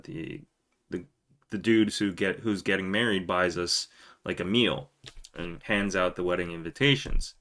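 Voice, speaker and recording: A man with a Korean accent hosting a live podcast, talking casually in a rambling, animated way with loud emphatic bursts and quick laughs. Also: sits low. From South Korea. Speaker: podcast host. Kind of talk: casual live-stream chat.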